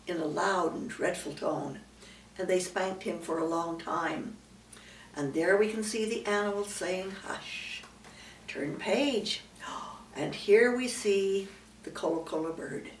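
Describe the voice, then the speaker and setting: A woman's voice: on the low side, measured pace. An older woman, a great-grandmother, reading a children's storybook aloud with emphatic phrasing and explanatory asides. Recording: roomy, distant mic, faint background noise.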